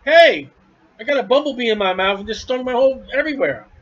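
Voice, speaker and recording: A giddy, babbling tongues-speaker, put on mockingly by a preacher, comes through high and quick. The sound is dull and lo-fi, like a home recording. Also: male voice, animated, a bit bright, full character voice.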